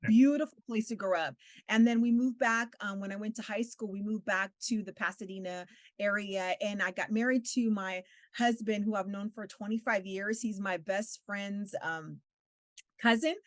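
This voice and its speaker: A woman's voice: medium pitch, steady tone, normal speed, no echo. A middle-aged woman from Southern California whose first language is Spanish, speaking earnestly as an interview guest, laughing often and using many fillers. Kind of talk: interview conversation.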